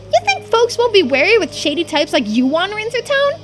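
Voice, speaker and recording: A woman's voice: very high voice, big pitch swings, brisk, voice pushed up. A woman voicing a jumpy, defiant townswoman in a mystery game, snappy and suspicious.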